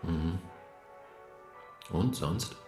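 A calm therapist in a radio play. His voice is very deep, and he keeps to brief, neutral prompts. The room sounds echoey. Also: drawn-out words, faint music behind, full character voice. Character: calm therapist questioning the maid.

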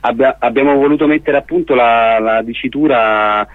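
A man from Rome, explaining an event as a radio guest in a halting, wordy way with frequent rephrasing. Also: mid-range voice, narrow, tinny audio. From Rome, Italy. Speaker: festival organiser, radio guest.

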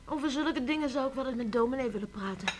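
A woman's voice: high voice, fast talker, lightly acted. A woman playing a sincere, devout teenage farm daughter in a Dutch radio play, earnest and a little hesitant. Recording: faintly noisy old radio recording.

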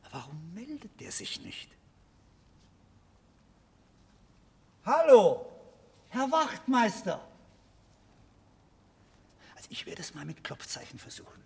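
A man's voice: sits high, big pitch swings, measured pace, creaky, pauses often, a little mellow, exaggerated voice. An actor playing a timid, put-upon brother in a radio drama, nervous and hesitant in his speech. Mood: anxious calling. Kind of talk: German radio drama acting.

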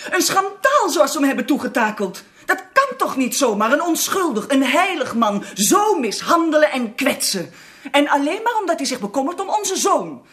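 An actress playing an anguished, devout Russian empress and mother in a radio drama, breathy and impassioned. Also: sits high, fairly bright tone. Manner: impassioned, pleading.

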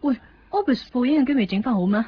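A woman's voice: sits high, animated, fast talker, slight background noise. Reserved young woman, muffled broadcast. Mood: friendly. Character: reserved, gentle young woman working in a small shop. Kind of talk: Cantonese radio drama, natural dialogue. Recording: muffled 1990s radio broadcast. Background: faint music.

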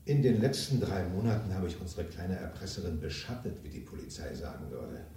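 A man's voice: sits low, big pitch swings, fairly quick, creaky, dark tone, distant mic, a little hiss or hum, full character voice. Swaggering, scheming businessman in echoey room. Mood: scheming, determined. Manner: blunt, swaggering, theatrically emphatic. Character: cynical, ambitious self-made businessman, scheming and coarse. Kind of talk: German crime radio drama acting.

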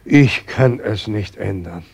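An actor playing a helpless, lamenting old God nobody believes in, with a husky, creaky voice in a muffled radio play recording. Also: male voice, fairly low pitch, expressive, a little mellow, exaggerated voice. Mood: despairing. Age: older. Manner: lamenting, slow, repetitive. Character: forgotten God, a weeping, helpless old man. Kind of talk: post-war German radio play acting.

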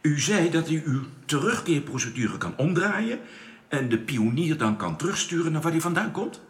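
Excitable spaceship crewman, very animated pitch, grumbling and hesitant, echoing hall, radio drama. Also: normal speed. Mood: indignant, pleading. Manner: hesitant, protesting, exclamatory. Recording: echoey hall with long reverb.

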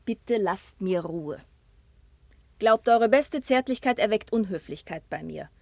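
An actress playing a proud, steadfast young princess in a classic radio drama, formal and declamatory. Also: female voice, mid-range voice, lively intonation, a little slow, gravelly, dry recording. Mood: cool, scornful.

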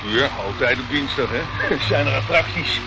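An actor playing a chatty, obliging car park attendant in a radio play. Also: male voice, mid-range voice, moderate pace, gravelly, lightly acted. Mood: casual, obliging. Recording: muffled, noisy old radio recording.

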